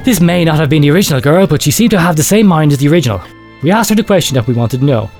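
A man's voice: a little high, lively intonation, very fast, darkish tone, soft background music. A man reading a listener's story aloud as a radio host, with a theatrical delivery that swells for emphasis.

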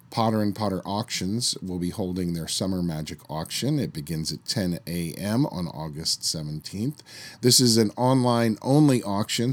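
A man hosting his own history podcast, talking casually with a slightly hoarse voice after a summer cold, with the odd filler and restart. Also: sits low. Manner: conversational, with fillers and restarts while recounting history. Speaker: podcast host. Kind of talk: solo history podcast narration.

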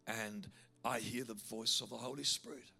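A man's voice: mid-range voice, moderate pace, gravelly, breathy edge. A man preaching a sermon, telling a story and leading a call to prayer in a big voice. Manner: animated storyteller, building to loud fervent altar call.

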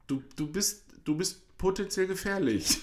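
A man's voice: sits high, a little slow. A middle-aged man chatting casually and telling a story, hesitant with many fillers and restarts. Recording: roomy echo.

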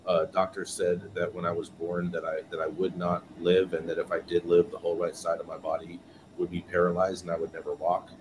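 A man's voice: low-pitched, completely flat delivery, brisk, background noise. A man from California, a guest on a podcast interview, telling his story earnestly and repeating words as he goes. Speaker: guest, business owner.

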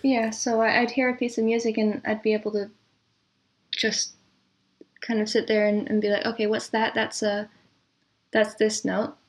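A woman answering as a composer guest in a casual, chatty interview, quick to laugh. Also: a little high, level tone.

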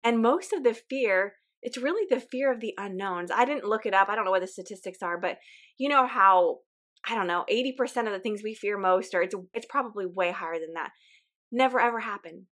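A woman's voice: medium pitch, fairly quick, fairly bright tone. A woman podcast host with a weak, recovering voice, talking in an earnest, encouraging, conversational way. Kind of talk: casual podcast monologue.

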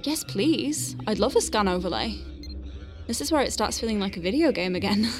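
A woman playing a flustered but awestruck space medic in an audio drama, chatty, nervy and expressive as she talks through her work. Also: mid-range voice, normal speed, music in the background, lightly acted. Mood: focused, wry. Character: young female space medic Faye, flustered but awestruck, working inside star whale. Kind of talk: audio drama acting with first-person narration.

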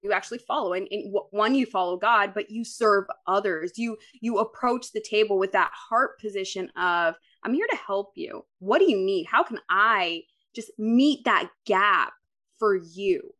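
A woman from the Dominican Republic, a guest on a podcast, talking in an energetic, upbeat way and laughing often. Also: mid-range voice, normal speed. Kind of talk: casual podcast interview.

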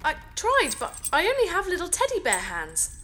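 Very high-pitched little teddy bear. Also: female voice, wildly swooping pitch, moderate pace, sharp tone, exaggerated voice. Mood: pleading. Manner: earnest, pleading. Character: small living teddy bear, loyal, anxious, childlike. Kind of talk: science fiction audio drama acting.

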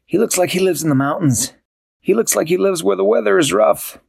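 A man doing an excitable cat ninja. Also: high-pitched, brisk, creaky, slightly rough, no room sound, voice pushed up, exaggerated voice. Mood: excited.

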